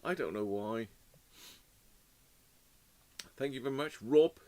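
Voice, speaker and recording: A man hosting a live radio repair stream, chatting casually and greeting viewers by name, his voice slightly hoarse from a cold and cough. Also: medium pitch, slow pace, warm tone. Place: home workshop. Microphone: desk microphone.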